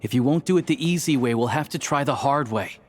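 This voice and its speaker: A man's voice: mid-range voice, a little fast, a little hiss or hum, natural voice. A man voicing an easygoing, level-headed young member of a secret society in a video game, explaining things casually. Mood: placating.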